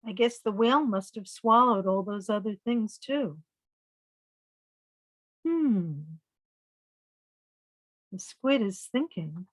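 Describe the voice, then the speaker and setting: Slow-paced woman reading to young children. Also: mid-range voice, expressive, dry recording, low-quality recording. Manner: asks young listeners simple questions. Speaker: read-aloud storyteller. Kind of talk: children's picture-book read-aloud.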